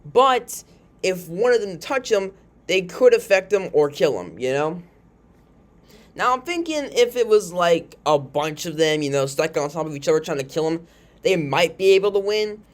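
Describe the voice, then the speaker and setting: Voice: mid-range voice, animated, moderate pace. Casual, rambling video host with mid-range voice. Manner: rambling, informal, frequently tags statements with a questioning check. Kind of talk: casual commentary on fictional character matchups.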